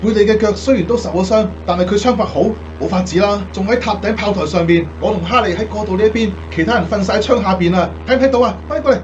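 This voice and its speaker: A male actor playing a cheeky, excitable young bomber crewman in a radio drama. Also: high voice, fast talker, few pauses, muffled recording, slight background noise, natural voice. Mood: urgent, alarmed.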